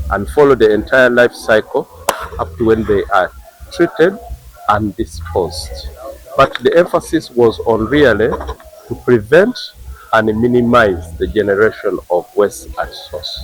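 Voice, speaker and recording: A man with a Ugandan English accent explaining waste management in measured, short phrases. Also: mid-range voice, poor audio. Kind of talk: explanatory talk.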